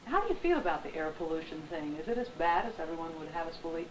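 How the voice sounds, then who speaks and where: A woman's voice: low-pitched, very animated, fast talker. A woman interviewer asking questions casually, recorded in echoey old film sound. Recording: echoey, faintly noisy, off-mic film sound.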